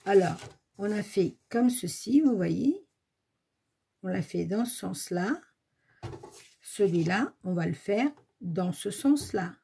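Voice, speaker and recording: A woman's voice: medium pitch, expressive, slow, gravelly, long pauses, mellow, husky. A woman with a slight cold, chatting casually while she demonstrates a paper craft in her home workshop. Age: 40s to 60s, has a granddaughter. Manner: chatty, thinks aloud, frequent "hop" and "voilà". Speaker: crafts tutorial host. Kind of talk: casual step-by-step craft tutorial.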